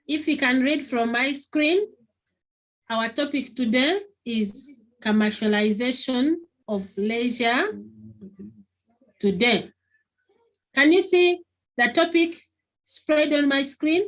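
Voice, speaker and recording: Lady teacher on a video call, high pitched, takes it real slow, keeps asking the class questions. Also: fairly bright tone, rough recording. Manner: repeats key phrases, questions pupils often. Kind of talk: online class lesson. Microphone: computer on a video call.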